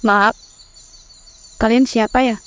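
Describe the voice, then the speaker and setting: A woman's voice: on the high side, fairly even intonation, slow pace, a bit bright, clear, resonant tone. A woman voicing a hostile, greedy young stepmother in a horror cartoon, giving curt, dismissive orders.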